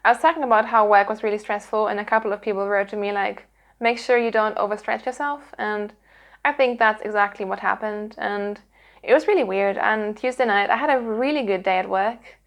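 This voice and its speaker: A woman's voice: mid-range voice, a little fast. A woman hosting her own podcast, chatting casually to her audience in a rambly, earnest way. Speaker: podcast host. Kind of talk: casual chatty vlog to viewers.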